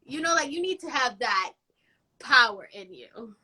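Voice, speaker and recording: A woman's voice: on the high side, animated, a little slow, sharp tone, slightly rough. A young woman from Puerto Rico, a guest in a casual interview, talking boldly and bluntly with easy self-assurance.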